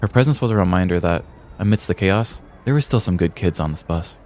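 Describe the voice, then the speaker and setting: An American man reading a scripted comedic story in a deliberate, theatrical storyteller's manner. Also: low-pitched, a little mellow, slight background noise. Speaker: podcast host telling a story.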